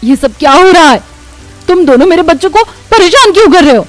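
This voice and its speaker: A woman's voice: extremely high pitch, lively intonation, brisk, pitched above the performer's own voice. A woman voicing a protective, distressed young village mother in a cartoon, speaking emotionally. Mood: angry. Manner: scolding, emotional, pleading.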